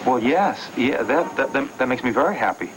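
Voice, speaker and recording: An actor playing a distrustful, volatile kidnapper on the run in a television soap, stammering, menacing and wounded. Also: male voice, mid-range voice, expressive, normal speed, background noise, lightly acted. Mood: hesitant, surprised. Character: distrustful, volatile fugitive kidnapper desperate to be a father. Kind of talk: television soap drama acting.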